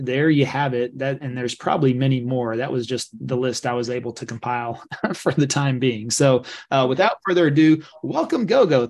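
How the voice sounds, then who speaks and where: A man's voice: mid-range voice, a little mellow. A man in his forties hosting a video podcast, introducing his guest in a casual, rambling way at a desk mic. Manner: casual, many fillers, reads stats. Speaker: podcast host. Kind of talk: interview introduction. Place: home studio.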